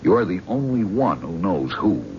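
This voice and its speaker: An actor playing a calm, probing male psychiatrist in a 1970s radio drama. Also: deep voice, measured pace. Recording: dull old radio broadcast with faint hiss.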